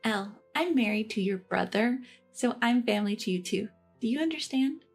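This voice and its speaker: A woman's voice: on the high side, expressive, brisk, breathy edge, soft background music. A woman voicing an entitled, snide sister-in-law who talks down to others. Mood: condescending. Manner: condescending, needling.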